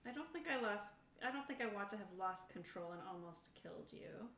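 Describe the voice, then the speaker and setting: A woman's voice: mid-range voice, animated, brisk, a bit bright. A woman talking casually as a player working out her character in a tabletop roleplaying game. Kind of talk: casual table talk while creating a character. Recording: roomy echo, distant mic, noisy background.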